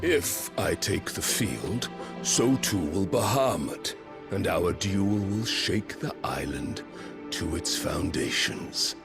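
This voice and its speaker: A man's voice: deep voice, expressive, slow, creaky, music in the background, exaggerated voice. A man playing a proud, scornful warrior lord in a game cutscene, speaking bluntly with grave command. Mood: scornful, grim. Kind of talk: dramatic video game cutscene acting.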